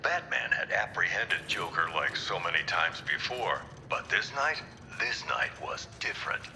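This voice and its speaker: An actor playing a grave comic-book narrator, telling a dark tale in an ominous, theatrical style. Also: male voice, low voice, sing-song, measured pace, heavily acted. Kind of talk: dramatic scripted narration. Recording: long hall reverb, faint music behind.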